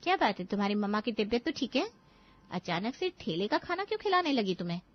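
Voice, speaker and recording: A woman's voice: medium pitch, very animated, quick pace. A woman playing a strict, concerned schoolteacher in a cartoon, firm and lecturing. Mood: brisk, concerned. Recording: dull lo-fi audio.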